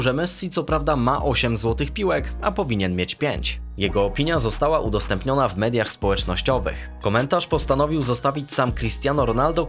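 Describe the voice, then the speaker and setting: A young man hosting a football news show, reading scripted reports in a brisk, even newsreader manner from a small room. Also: mid-range voice. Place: small room with brick wall and shelves.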